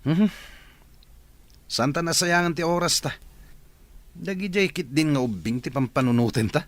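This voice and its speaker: A man's voice: on the high side, normal speed, dry recording, close to an everyday voice. A man playing a scheming, disapproving character in a radio drama, with an Ilocano accent. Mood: disapproving, reassuring.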